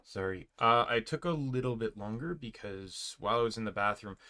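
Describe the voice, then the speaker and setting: A young man streaming, chatting casually with a loud voice, rambling with lots of fillers and restarts. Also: on the low side, animated, brisk, a little hiss or hum.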